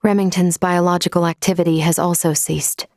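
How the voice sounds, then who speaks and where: A woman playing a polite, analytical female android companion in a video game, speaking in formal, precise, measured phrases. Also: lowish voice, little pitch movement, a little mellow, no room sound, studio quality, natural voice. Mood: measured, inquiring. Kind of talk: scripted video game character acting.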